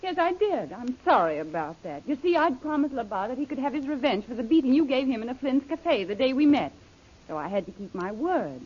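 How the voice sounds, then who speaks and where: A husky scheming femme fatale from an old radio serial, coquettish and theatrical, dull lo-fi sound. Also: female voice, high voice, sing-song, fairly quick, darkish tone, heavily acted. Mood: earnest, coaxing. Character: audacious scheming villainess, coolly seductive adventuress.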